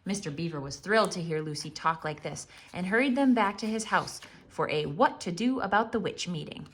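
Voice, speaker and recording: A woman narrating a children's story theatrically, with expressive, dramatic emphasis. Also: lowish voice, fairly quick.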